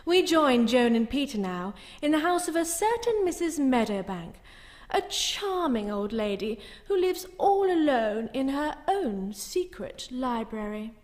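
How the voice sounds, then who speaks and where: A woman's voice: sits high, big pitch swings, unhurried. A woman playing a bewitching horror hostess, narrating a live radio show in a grandiose, gushing, theatrical way. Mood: ominous storytelling. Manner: grandiose, gushing, relishing the gruesome. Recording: live in echoey hall, long reverb.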